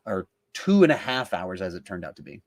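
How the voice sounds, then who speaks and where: A man's voice: sits low, very animated, a little fast. A man, hosting a podcast and talking film in a casual, conversational style. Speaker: podcast host.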